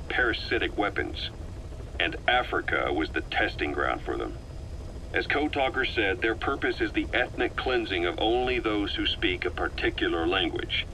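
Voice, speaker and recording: An actor playing a stern, analytical military intelligence officer in a game, laying out a theory in a measured, emphatic, matter-of-fact way. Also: male voice, deep voice, pushed and bright, slight background noise, heavily acted, poor audio. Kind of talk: dramatic game monologue, explaining a theory in a briefing.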